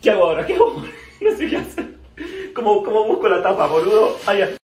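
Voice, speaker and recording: A man's voice: high voice, big pitch swings, fast talker, echoey room. Argentine guy in his 30s rambling dramatically to followers on his phone indoors, bit of background noise. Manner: dramatic, exclamatory, repeats phrases. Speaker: social media vlogger. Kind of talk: casual chat to followers. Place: indoors at home, mostly a kitchen. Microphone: phone, at varying short distance. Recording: noisy handheld phone recording.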